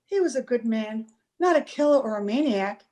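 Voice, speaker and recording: An anxious young sweetheart in an old-time radio drama, played by a woman. Her high voice is earnest and fretful, devoted and persistent, with a melodramatic delivery. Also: animated, normal speed, mellow, close to an everyday voice. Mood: earnest, troubled. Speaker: amateur radio play actor. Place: home, over a video call.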